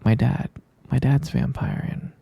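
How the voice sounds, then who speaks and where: A man in an ASMR roleplay as a tender vampire boyfriend, whispering soothingly and haltingly to help his partner sleep. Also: mid-range voice, steady tone, vocal fry, breathy edge, no echo. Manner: soft, halting, soothing whisper with murmured hums. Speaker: ASMR performer.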